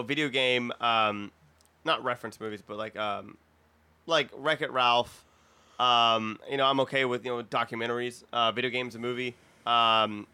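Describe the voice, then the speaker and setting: A man's voice: medium pitch, sharp tone, clear, resonant tone. A man hosting a casual comedy podcast, talking in a jokey, profane way. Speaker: podcast host.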